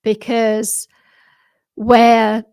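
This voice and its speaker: A retired British woman in her sixties chats with her livestream viewers from home, rambling and laughing, with plenty of ums and restarts. Her voice sits a little high for a woman and is slightly worn, as though she is losing it. The sound is dry and close, with no room echo. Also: monotone, very slow, darkish tone, clear, resonant tone. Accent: British English. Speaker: livestream host. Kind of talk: casual chat with viewers. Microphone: desktop microphone.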